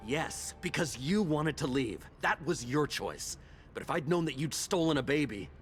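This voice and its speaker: This is a man playing a resentful, conflicted game character, a turncoat friend. His emphatic voice swings widely in pitch, with faint music behind it. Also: expressive, normal speed, lightly acted. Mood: accusing. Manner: bitter, accusing, emphatic. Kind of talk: video game voice acting.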